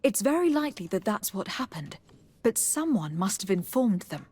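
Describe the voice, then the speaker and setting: A woman voicing a polite, inquisitive young noblewoman diplomat in a video game, speaking in a measured, formal way. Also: medium pitch, sing-song, darkish tone, breathy edge. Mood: measured, inquiring. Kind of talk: video game dialogue acting.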